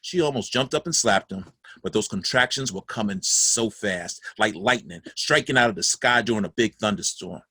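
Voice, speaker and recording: A man's voice: lowish voice, normal speed, bright, forceful tone, breathy edge, no echo. An actor playing a dead father's ghost, a folksy, doting, guilt-ridden man telling his story in a confiding, emphatic way in a play reading. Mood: amused storytelling. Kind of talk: theatrical monologue in a stage play reading.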